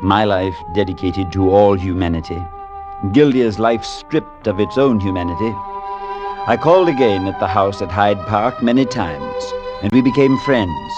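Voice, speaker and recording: Man acting a compassionate priest, low-pitched, measured old radio delivery, dull recording with music. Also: animated, close to an everyday voice. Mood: grave, reflective. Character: thoughtful, compassionate priest recalling an eerie tale. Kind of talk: 1940s radio acting and narration. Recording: dull vintage 1940s radio broadcast, music underneath.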